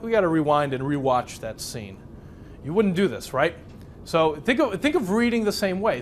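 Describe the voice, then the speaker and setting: A man's voice: on the high side, slight background noise. A young man whose first language is Polish, from Chicago, teaching a live workshop in a conversational way and asking the audience many questions. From Chicago, USA. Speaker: speed reading instructor.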